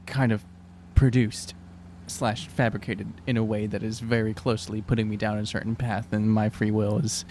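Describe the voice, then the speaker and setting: A man roleplaying at a tabletop game, halting and exasperated, often repeating his words. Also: fairly low pitch, little pitch movement, darkish tone, a little hiss or hum. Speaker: player in an actual-play podcast. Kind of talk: in-character tabletop roleplay chat.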